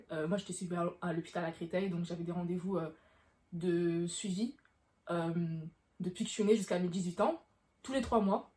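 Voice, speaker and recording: Low-pitched young woman. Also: a little mellow. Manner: informal, frequent self-rephrasing. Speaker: vlogger answering questions. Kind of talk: casual explanatory chat to camera.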